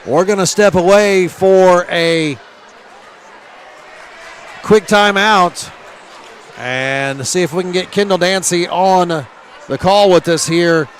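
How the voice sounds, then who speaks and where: A man calling a live high school basketball game and interviewing at halftime, energetic, with frequent "uh" fillers. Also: slow, pauses often, a little hiss or hum. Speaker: play-by-play announcer.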